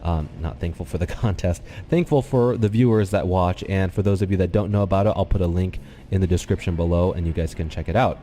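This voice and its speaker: A low-voiced man, a bit stuffed up and nasal, commentates a game replay in a calm, explanatory way. He speaks into a desktop microphone, thinking aloud as he goes. Clear background noise and game sounds run underneath. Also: brisk. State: congested, slightly nasal. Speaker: gaming channel host. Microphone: desktop USB microphone.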